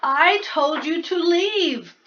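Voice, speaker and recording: A woman's voice: very high voice, expressive, slow, muffled recording, noisy background, voice pushed up, heavily acted. A woman voicing a grumpy male bear who wants to be alone, blustering and exasperated, in a storybook read-aloud. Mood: angry.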